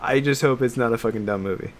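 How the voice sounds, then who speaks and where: Male podcast co-host, mid pitch, loose rambling casual talk, noisy room behind. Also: fairly quick. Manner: rambling and hedging, peppered with "like". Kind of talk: casual chat about games and films.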